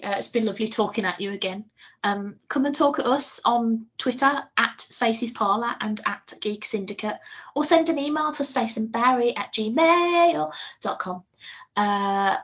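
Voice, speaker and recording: A woman's voice: medium pitch, moderate pace, poor audio. British woman in casual, jokey chat. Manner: informal, jokey, expressive. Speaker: podcast co-host.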